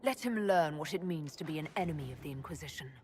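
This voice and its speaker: An actress playing a resolute leader of a fantasy army in a video game, speaking in a firm, commanding manner. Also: female voice, deep voice, lively intonation, quick pace, darkish tone, a little hiss or hum. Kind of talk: dramatic video game acting.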